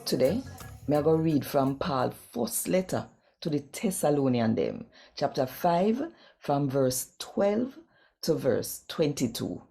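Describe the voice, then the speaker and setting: A Jamaican woman reads scripture as host in a low, mellow voice. Her delivery is slow, deliberate and expressive, with a Jamaican accent throughout. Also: creaky, faint music behind. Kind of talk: daily Bible reading in Jamaican Creole.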